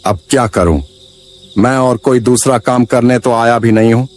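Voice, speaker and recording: A man's voice: mid-range voice, steady tone, normal speed, faint music behind, natural voice. A man voicing a proud, earnest stranger from afar in a cartoon story, with a polite, formal manner. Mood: dejected pleading. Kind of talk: acted dialogue in a story cartoon.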